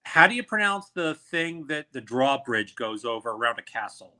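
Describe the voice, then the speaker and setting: Male, mid pitch, chatty storyteller who laughs at his own stories. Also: sing-song, moderate pace, pushed and bright. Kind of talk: casual chat.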